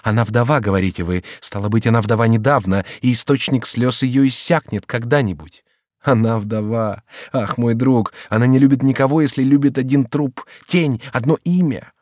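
A man's voice: mid-range voice, moderate pace, a little mellow, dry recording, clean studio sound, pitched above the performer's own voice, natural voice. A man voicing an anguished young count. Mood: feverish hope. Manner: impassioned, pleading, declamatory. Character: young male count, lovesick and despairing.

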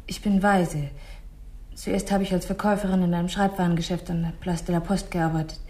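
A woman's voice: fairly low pitch, little pitch movement, a little fast, warm tone, lightly acted. A woman playing a meek, guarded young waitress who answers hesitantly in a 1960s German radio crime drama. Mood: subdued, confiding. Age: young adult. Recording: roomy early-1960s radio studio recording.

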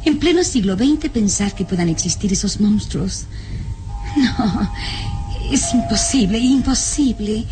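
A woman's voice: medium pitch, lively intonation, unhurried, lightly acted. A woman playing a frightened young noblewoman in radio melodrama, hesitant and anxiously repeating herself over music. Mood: anxious, self-reassuring. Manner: hesitant, breathless exclamations and anxious repetitions. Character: frightened, beautiful young noblewoman struggling to reason away fear. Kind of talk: old Latin American radio serial melodrama. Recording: music behind the voice.

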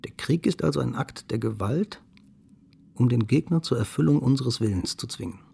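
A man reciting a literary radio-play monologue in a measured way, husky and gravelly, at times nearly whispering. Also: mid-range voice, animated, mellow, full character voice. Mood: grave, didactic.

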